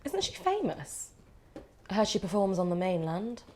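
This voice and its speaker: A woman's voice: medium pitch, very animated, normal speed, darkish tone, natural voice. An actress playing a sardonic, teasing, probing woman in a bickering romantic couple, in naturalistic stage drama dialogue. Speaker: actor. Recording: clear ambient background noise.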